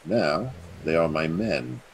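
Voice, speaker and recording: An actor playing a scheming, treacherous usurping duke in a Shakespearean radio play, theatrical and insinuating. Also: male voice, a bass voice, animated, takes it slowly, slightly rough, full character voice, rough recording. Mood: coldly plotting.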